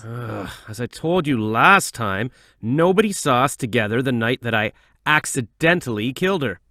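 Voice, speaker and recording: A man's voice: mid-range voice, expressive, moderate pace, bright, forceful tone, no room sound, clean studio sound. A man acting as a defensive, naive, hot-headed son of a mayor in an audio drama, with a petulant manner.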